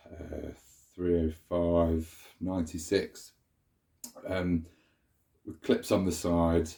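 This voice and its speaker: A man's voice: low voice, mellow. A man with a stuffy cold chattily shows off a vacuum cleaner in a sunny room indoors, filming it up close with a handheld camera. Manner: chatty, meandering, self-correcting. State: nasal from a cold. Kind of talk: casual show-and-tell demonstration.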